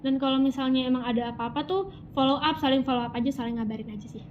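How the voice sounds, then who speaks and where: A woman's voice: high voice, fast. A young woman from West Java, a university student, answering interview questions in a chatty, informal way with lots of fillers. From West Java (Sundanese region), Indonesia. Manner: chatty, informal Jakarta slang, frequent fillers. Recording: muffled lo-fi audio with faint background noise.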